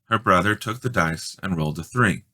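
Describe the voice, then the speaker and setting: A man reading a children's storybook aloud as a dramatic, expressive narrator. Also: deep voice, a little fast. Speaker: storybook reader.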